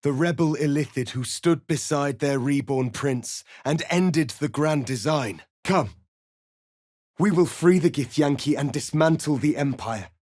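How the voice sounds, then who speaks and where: A man playing a proud, solemn alien warrior prince in a fantasy video game, speaking in a formal, commanding, deliberate way. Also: on the high side, darkish tone, no echo, clean studio sound. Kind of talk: dramatic fantasy game acting.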